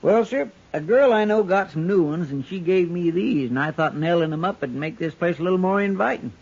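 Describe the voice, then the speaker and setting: An actor playing an eager, deferential frontier deputy with a folksy American Western drawl in a 1950s radio drama. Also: male voice, high-pitched. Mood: hopeful. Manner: folksy, meek, drawn-out explaining. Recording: muffled 1950s radio recording.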